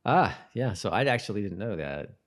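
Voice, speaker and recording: Low-pitched man chatting on a podcast. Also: big pitch swings, moderate pace. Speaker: podcast co-host. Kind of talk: casual chat about a TV show.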